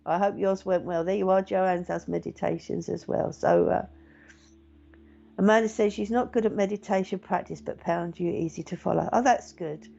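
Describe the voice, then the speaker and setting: A middle-aged woman, a meditation teacher and medium, chatting gently and reassuringly to viewers with many fillers. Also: on the low side, fairly even intonation, moderate pace, vocal fry. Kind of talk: casual live-stream chat reading viewer comments. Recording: dull lo-fi stream audio.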